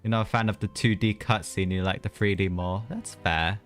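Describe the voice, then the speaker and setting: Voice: low voice, steady tone, a little fast, soft background music. A game streamer giving casual, chatty live commentary with sympathetic reactions.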